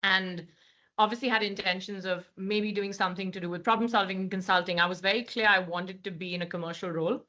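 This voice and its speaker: A woman's voice: medium pitch, steady tone, fast, pushed and bright. A woman in her thirties with an Indian accent, a guest speaking articulately in a podcast interview with frequent fillers. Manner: articulate, many fillers and restarts. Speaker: guest, managing director. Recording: slightly dull lo-fi sound.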